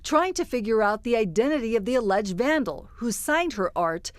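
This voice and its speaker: A female TV reporter with a fairly high voice narrates a scripted news package. The sound is very dry and close. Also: animated, normal speed, dry recording.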